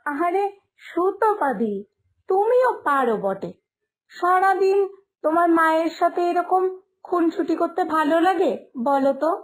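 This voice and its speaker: একজন মহিলার কণ্ঠে চড়া গলার যত্নশীল তরুণী বৌমা. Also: female voice, very high voice, expressive, measured pace, long pauses. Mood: gentle, coaxing. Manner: soothing, coaxing. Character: caring, dutiful young daughter-in-law in village household. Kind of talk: cartoon story voice acting.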